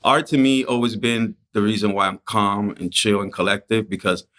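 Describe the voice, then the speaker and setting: A middle-aged man from the Dominican Republic talks through his life as a painter in a relaxed, reflective way. His voice sits somewhat low, and his storytelling is casual and unhurried. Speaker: painter and muralist, guest.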